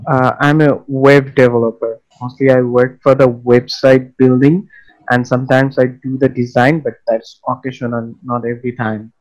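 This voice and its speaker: A man's voice: mid-range voice, little pitch movement, normal speed, darkish tone, muffled recording. A young adult man with a Bangladeshi accent, answering casually as an interview guest. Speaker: interview guest, freelance web developer.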